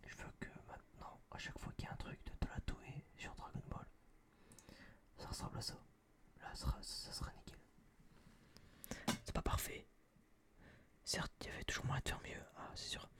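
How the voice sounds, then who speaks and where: A young man whispering a casual, slangy, rambling review in breathy ASMR style, close to a binaural microphone. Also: moderate pace, pauses often. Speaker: ASMR video creator.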